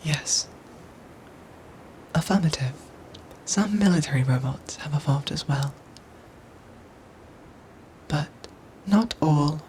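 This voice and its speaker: A woman playing a protective android soldier in a whispered ASMR roleplay, speaking in soft, breathy, formal and precise phrases. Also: low voice, slow pace, pauses often, a little hiss or hum, poor audio. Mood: calm, explanatory.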